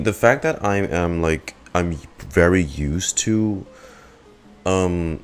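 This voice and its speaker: A young man giving casual, slangy game reaction commentary as a streamer, with muttered asides. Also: deep voice.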